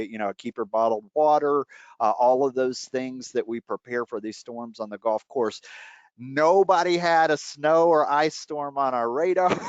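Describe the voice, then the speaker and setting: A man's voice: mid-range voice, no room sound, dull audio. A man hosting a podcast, talking casually with many fillers and easy laughs. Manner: chatty, frequent fillers and laughs. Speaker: podcast host.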